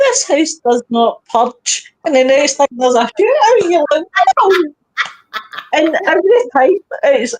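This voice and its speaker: A woman with a fairly high voice hosts a casual live chat show. She tells rambling, animated stories, swears freely and reads out comments as she goes. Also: poor audio.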